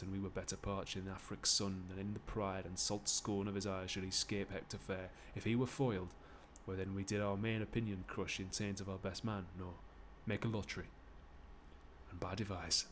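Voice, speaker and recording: A man playing a shrewd old Greek statesman, declaiming verse from a classical play in a measured way. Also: low voice, level tone, fairly bright tone, slight background noise, voice pushed down.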